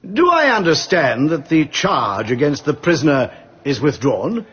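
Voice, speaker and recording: Radio courtroom: grave English judge, formal and ceremonious address, slightly dull recording. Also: male voice, a little high, big pitch swings, a bit bright, slightly rough, full character voice. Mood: solemn, gracious. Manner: measured, ceremonious. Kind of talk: radio drama acting.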